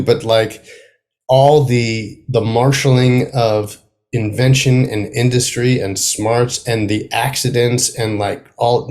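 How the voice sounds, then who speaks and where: A man, a playwright, talking casually as an interview guest in a halting, thoughtful way, often trailing off mid-sentence. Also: medium pitch, a little mellow. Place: remote video call.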